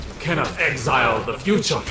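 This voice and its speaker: An actor playing a grave, prophetic warlock in a video game cutscene, speaking in a solemn, portentous manner. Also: male voice, mid-range voice, lively intonation, brisk, close to an everyday voice. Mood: solemn, foreboding. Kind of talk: scripted dramatic game cutscene acting. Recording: echoing voice under loud music.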